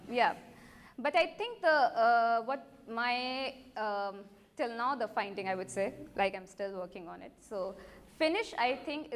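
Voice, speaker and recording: A woman's voice: fairly high pitch, slight background noise. A woman from India, a Hindi speaker, talking in as she presents her art project and answers questions, earnestly restarting her sentences. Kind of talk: workshop instructions and audience Q&A.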